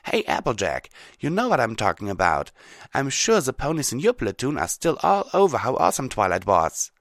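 A man voicing a hot-headed, proud female cartoon pony with a forceful, defiant delivery. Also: medium pitch, wildly swooping pitch, a little fast, no room sound, clean studio sound, voice pushed up. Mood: anxious, insistent.

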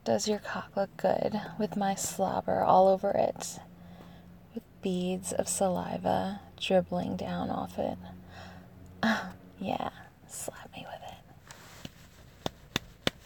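A woman playing an amorous, eager adult lover in an intimate audio roleplay, speaking to the listener in a breathy, whispery voice. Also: mid-range voice, level tone, a little mellow. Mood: seductive, hushed. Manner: slow, intimate, addressing the listener directly.

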